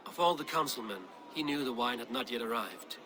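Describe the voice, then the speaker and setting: A stern Viking warrior acted by a man for a cinematic video game. He speaks tersely and decisively at a middle pitch, with a measured, commanding delivery. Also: expressive, lightly acted. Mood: deliberate.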